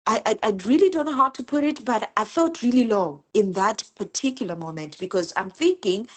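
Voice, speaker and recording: A woman from Zimbabwe, a homeschooling mother, talking in a casual interview and telling her stories earnestly and with feeling. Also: on the high side. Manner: earnest, animated storytelling with repeated words.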